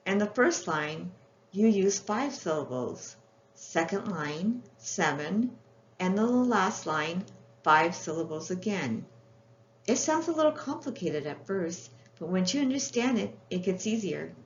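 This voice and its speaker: A woman voicing a gentle, polite and eager little girl from a children's storybook. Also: lowish voice, expressive, a little slow. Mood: patient, explaining. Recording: roomy, muffled, with faint background noise.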